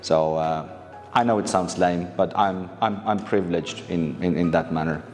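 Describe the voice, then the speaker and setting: A man in his thirties from Finland, a maritime lecturer answering interview questions in a relaxed way, with frequent fillers and restarts. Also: sits low, normal speed, long reverb tail, soft background music. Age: 30s. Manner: hesitant, many fillers and restarts.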